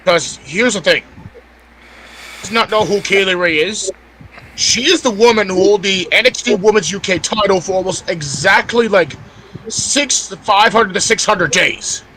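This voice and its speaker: A man with a high, sharp-edged voice gives casual sports commentary on a podcast panel. He presses his points by repeating them, over faint background noise. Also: a little slow, poor audio.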